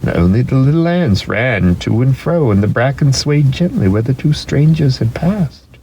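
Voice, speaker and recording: A man giving a dramatic storybook narration in an expressive, deliberate way. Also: mid-range voice, highs cut off, a little hiss or hum.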